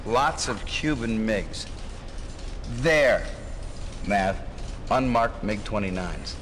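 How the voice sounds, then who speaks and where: An actor playing a businesslike navy officer giving a military briefing in a game. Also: male voice, on the low side, animated, slow pace, a bit bright, a little hiss or hum. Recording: music under the voice.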